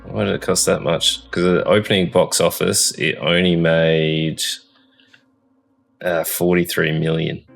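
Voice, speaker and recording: A man's voice: low voice, level tone, a little slow, gravelly, soft background music. A man hosting a movie podcast in a laid-back way, giving short replies and reading out box office numbers. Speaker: podcast host. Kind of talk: casual movie review chat.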